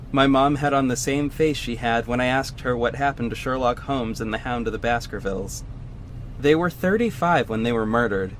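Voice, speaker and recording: A man's voice: mid-range voice, a little hiss or hum, close to an everyday voice, rough recording. A man playing a vigilante hero, narrating his own story in scripted first person for an audio drama. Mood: moved, subdued.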